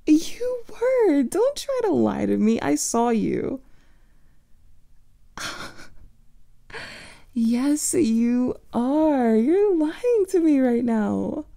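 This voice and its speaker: Woman as a slow, softly teasing vampire lover. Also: sits high, big pitch swings, gravelly, no room sound, clean studio sound. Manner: soft teasing, often whispered. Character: playful affectionate female vampire lover. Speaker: ASMR roleplay performer. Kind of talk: ASMR girlfriend roleplay.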